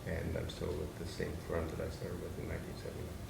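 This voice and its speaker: An older man with a Hungarian first language speaks haltingly in a very deep, gravelly, flat voice. He is picked up from across an echoey meeting room, under a loud hiss. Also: far from the mic. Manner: halting, many fillers. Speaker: lawyer. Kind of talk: roundtable introduction.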